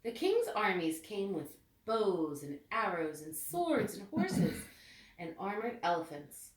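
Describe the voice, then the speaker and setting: A woman reading a storybook aloud with expressive, deliberate phrasing meant for children. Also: mid-range voice. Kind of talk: read-aloud storybook narration. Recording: echoey room, distant mic.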